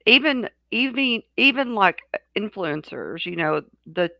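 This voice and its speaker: Gravelly, rambling talk-show co-host. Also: medium pitch, expressive, measured pace, sharp tone, no room sound. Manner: rambling, frank, many restarts. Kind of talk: casual chat.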